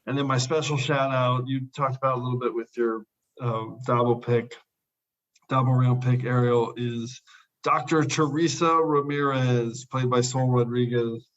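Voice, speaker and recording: A man hosts a casual podcast chat at an average pitch, gushing with eager praise and doubling up his words. His voice comes through a little dull and lo-fi. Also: steady tone, moderate pace. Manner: enthusiastic, repeats praise words. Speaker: podcast host.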